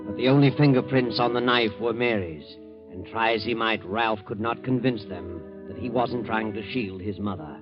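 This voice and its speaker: Man playing a low, sinister radio mystery narrator. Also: normal speed, lightly acted. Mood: ominous. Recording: dull old 1940s radio, music behind.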